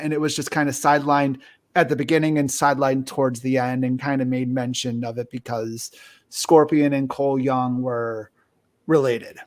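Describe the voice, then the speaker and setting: A man hosting a podcast, talking casually as he discusses and reviews films. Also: medium pitch. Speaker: podcast host.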